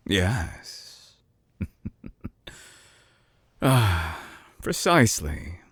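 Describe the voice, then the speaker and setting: An actor playing a suave, obsessive villain scientist in an intimate binaural audio drama, teasing and menacing, with a husky voice that slips into breathy whispers. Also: male voice, deep voice, big pitch swings, a bit bright, dry recording, full character voice. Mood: sly, seductive. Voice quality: husky, gravelly. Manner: slow, theatrical, teasing, sliding into breathy whispers. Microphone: close binaural microphone.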